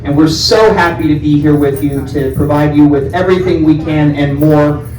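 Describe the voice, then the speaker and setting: A man with a loud voice hosting a community town hall, friendly and joking with residents by name. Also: fairly high pitch, steady tone, normal speed. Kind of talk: casual town hall talk. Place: large meeting room indoors. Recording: echoey room, distant mic.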